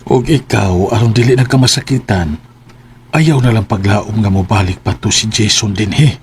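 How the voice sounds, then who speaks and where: An actor as calm Cebuano country father. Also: on the low side, normal speed, a little hiss or hum, natural voice. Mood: reassuring. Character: middle-aged farmer father, calm, forgiving, protective. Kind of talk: Cebuano radio drama acting.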